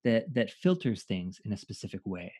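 A man's voice: lowish voice, sing-song, normal speed, mellow, breathy edge. A man hosting an interview, asking curious, encouraging questions and laughing lightly. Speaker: interviewer host. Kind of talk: conversational interview.

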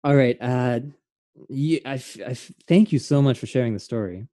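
A man's voice: mid-range voice, moderate pace, mellow, dry recording, studio quality. A man, a theatre artist, hosting an earnest and reflective conversation. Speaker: interview host, theatre artist.

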